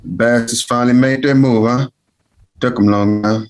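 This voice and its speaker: A man's voice: medium pitch, steady tone, normal speed, natural voice. A man playing a cranky elderly uncle in an acted script reading, grumbling and folksy, with a salty tongue. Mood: grave, wary. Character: cranky elderly uncle, crude, stubborn Southern family elder. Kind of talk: acted table read.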